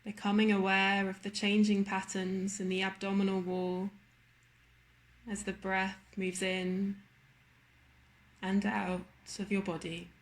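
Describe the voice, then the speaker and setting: A woman guiding a body scan meditation in a slow, measured manner with long pauses. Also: mid-range voice, completely flat delivery, rough recording. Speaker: meditation guide.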